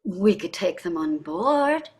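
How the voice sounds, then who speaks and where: A woman's voice: lowish voice, very animated, slow pace, darkish tone, husky, heavily acted. An actress reading the part of an exasperated, wistful wealthy wife in her sixties in a staged play, theatrical and sardonic. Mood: concerned.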